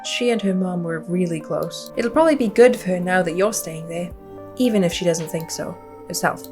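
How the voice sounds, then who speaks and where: A woman's voice: fairly low pitch, moderate pace, over music, lightly acted. Woman acting a chatty small-town young woman. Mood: concerned.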